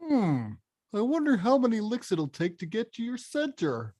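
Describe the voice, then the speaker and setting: A woman voicing a big, hot-tempered but caring male video game villain in a script read, with a blunt, gruff delivery. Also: a little high, sing-song, normal speed, dark tone, no room sound. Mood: menacing, demanding. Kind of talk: animated film script table read.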